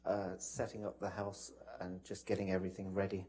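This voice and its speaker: Male witness, low-pitched and mellow, polite and hesitant, flat intonation, for courtroom testimony. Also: a little fast, husky. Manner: polite, hesitant, broken phrasing. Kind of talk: courtroom testimony, recounting from memory.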